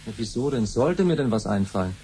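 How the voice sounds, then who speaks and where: An actor playing a laid-back, quietly defiant young adult son in a science-fiction radio play. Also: male voice, mid-range voice, animated, a little fast, music in the background, natural voice. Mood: nonchalant.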